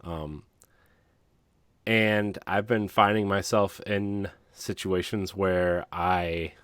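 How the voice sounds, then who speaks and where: A man co-hosting a podcast in casual chat, talking in a rambling, halting, self-deprecating way. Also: low-pitched, fairly even intonation, fairly bright tone, smooth, no echo, studio quality. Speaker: podcast co-host.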